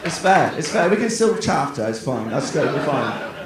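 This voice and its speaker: A man on stage at a comedy club, riffing through his set in a chatty, self-mocking way. His voice sits a little high for a man. The room is very echoey and there's steady noise behind him. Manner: chatty, self-deprecating, quick asides. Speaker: stand-up comedian. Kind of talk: stand-up set, then casual chat. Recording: very echoey venue with background noise.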